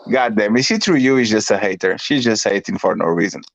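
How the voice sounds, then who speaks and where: A man's voice: fairly low pitch, fairly quick, no echo, muffled recording. A man from Portugal hosting a casual livestream chat, joking and swearing as he talks. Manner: joking, crude, excitable. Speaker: livestream host.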